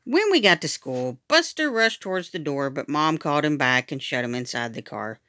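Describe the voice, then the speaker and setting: A woman reading a children's book aloud in an expressive, dramatic storytime style. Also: deep voice, moderate pace, dry recording. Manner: animated, dramatic. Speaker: storytime reader.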